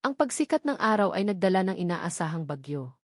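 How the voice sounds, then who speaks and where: A woman's voice: fairly low pitch, big pitch swings, fairly quick, no room sound, clean studio sound. A woman with a Filipino accent narrating a dramatic love story from a script.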